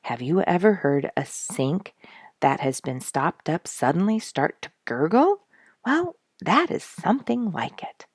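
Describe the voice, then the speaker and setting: Woman, children's story narrator, low pitch, very lively intonation, creaky edge, deliberate delivery. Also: dry recording. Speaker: storyteller reading a children's book aloud. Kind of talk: expressive scripted narration.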